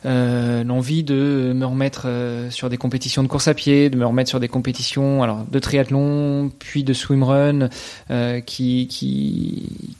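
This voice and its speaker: A man in his thirties from France, talking casually in an interview with many pauses and restarts. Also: medium pitch, level tone, darkish tone. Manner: hesitant, many fillers and restarts. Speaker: podcast guest, athlete.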